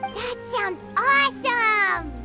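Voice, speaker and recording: Tiny childlike game fairy: very high, animated, chirpy, eager helper, prominent music underneath. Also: female voice, takes it slowly, heavily acted. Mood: impressed. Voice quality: squeaky, childlike. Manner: refers to self in third person, chirpy. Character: small excitable childlike fairy companion girl. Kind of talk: video game voice acting. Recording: music playing behind the voice.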